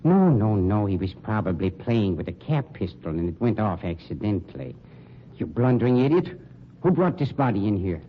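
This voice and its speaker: Suave, sardonic crime boss, low-voiced. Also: male voice, sing-song. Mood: exasperated, scornful. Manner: polished, sarcastic, condescending, deliberate diction. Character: suave, sardonic, polished male funeral home director, shady boss. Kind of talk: old-time radio crime drama acting. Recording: dull, noisy old radio recording.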